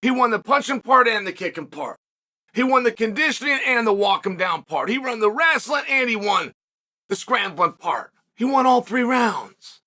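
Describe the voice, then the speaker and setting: A man's voice: very high voice, expressive, normal speed, sharp tone. A man hosting a podcast, giving casual fight commentary in a brash, emphatic way and repeating his points for effect. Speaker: podcast host and MMA commentator.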